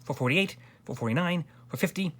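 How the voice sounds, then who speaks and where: A fussy, weary storybook courtier, acted out by a narrator, tallying with care and easily flustered. The voice is husky and moves around in pitch. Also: lively intonation, a little fast, close to an everyday voice. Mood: plodding. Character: fussy, weary bespectacled royal counter, Sir Alaric.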